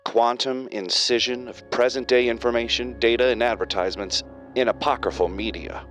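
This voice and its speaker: An actor playing an overly cheerful, salesy corporate training-video presenter in an audio drama. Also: male voice, mid-range voice, fairly even intonation, normal speed, a bit bright, natural voice. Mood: slick, cheerful. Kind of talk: scripted corporate promo narration in audio drama. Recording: music underneath.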